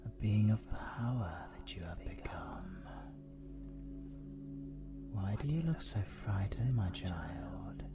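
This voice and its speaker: A British man voicing an ancient, sinister demon lord in a fantasy audio roleplay, whispering with breathy, grandiose, possessive menace. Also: low-pitched, heavily acted. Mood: silky menace.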